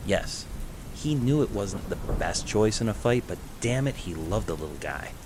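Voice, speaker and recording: Low-voiced man narrating a story. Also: very animated, moderate pace, background noise. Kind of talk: scripted fan fiction narration.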